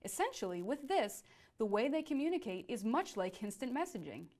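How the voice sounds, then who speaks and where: A woman's voice: a little high, very animated, fairly quick, darkish tone. A woman presenting a scripted educational explainer.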